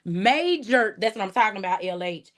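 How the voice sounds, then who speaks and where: A woman hosting her first livestream, chatting casually and warmly, thanking viewers by name and laughing often. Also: mid-range voice, animated, normal speed. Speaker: livestream host. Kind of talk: casual livestream chat reading comments.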